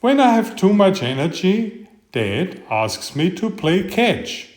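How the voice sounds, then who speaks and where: Man voicing an eager, higher-pitched storybook boy. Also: sing-song, slow pace, echoey room, heavily acted. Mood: explaining.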